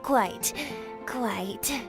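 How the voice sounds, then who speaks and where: A woman's voice: on the high side, drawn-out words, creaky, over music, full character voice. A woman voicing a whimsical, mischievous young boy bard in a video game, with flowery, mock-grand speech. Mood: theatrical, amused. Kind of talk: video game dialogue acting.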